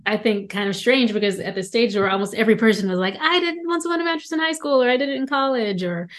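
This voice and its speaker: A woman from Ohio, a stage actress and singer, chatting as a podcast guest. Her voice is slightly raspy and hoarse from a cold, and she tells stories and laughs easily. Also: a little high, quick pace. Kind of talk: casual interview chat.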